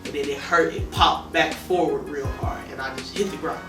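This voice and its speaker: A young woman from with a deep, monotone voice tells a personal story in a relaxed, rambling documentary interview. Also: moderate pace. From Detroit. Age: young adult. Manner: laid-back, rambling, heavy with fillers. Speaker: college basketball player. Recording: roomy echo, distant mic, music behind.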